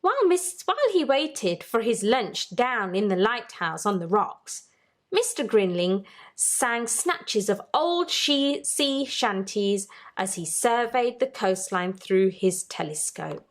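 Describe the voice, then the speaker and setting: A woman's voice: mid-range voice, big pitch swings, takes it slowly. A woman reading a children's storybook aloud as host, narrating expressively for young listeners.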